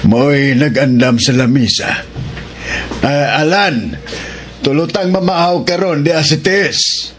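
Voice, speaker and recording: A man's voice: on the high side, slow, slight background noise. A man acting an easygoing, homely, fatherly older man in a radio drama.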